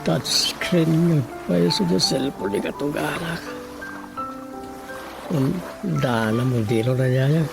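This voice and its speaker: Grumbling Ilocano man for radio drama: medium pitch, gravelly creak, muttering, loud music underneath. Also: slow pace, long pauses. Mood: brooding.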